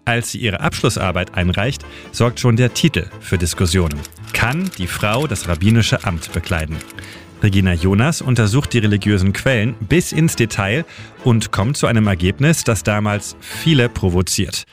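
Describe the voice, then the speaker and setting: Male radio narrator, lowish pitch, scripted storytelling in pointed phrases, music bed. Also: expressive, normal speed. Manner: short, pointed sentences. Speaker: radio editor and city guide.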